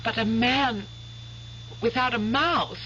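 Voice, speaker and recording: An American actress playing a devoted, earnest young niece in a radio drama. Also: female voice, fairly high pitch, slow pace, pushed and bright. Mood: earnest, worried. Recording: dull, noisy old radio recording, hum.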